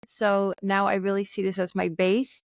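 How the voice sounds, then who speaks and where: A woman's voice: medium pitch, level tone, moderate pace, no room sound, studio quality. Friendly woman telling her story. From Netherlands. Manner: friendly, storytelling, light laughs. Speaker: podcast guest, entrepreneur. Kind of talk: casual interview conversation. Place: Bali, remote call.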